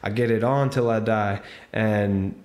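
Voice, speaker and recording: A man's voice: on the low side, fairly even intonation, a little slow, a little mellow, reverberant. A man co-hosting a casual movie review podcast, chatting with enthusiasm. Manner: chatty, enthusiastic, lists cast members. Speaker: podcast co-host.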